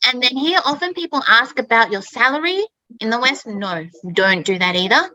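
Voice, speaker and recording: A woman in her thirties speaks in a chatty, conversational way, often checking in with "okay?". Her voice is bright and a little high. The sound is dry and close, with no room echo. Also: sing-song, moderate pace. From Australia. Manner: chatty, keeps adding "okay?". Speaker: speaker in an English-learning talk. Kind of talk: personal story told to learners.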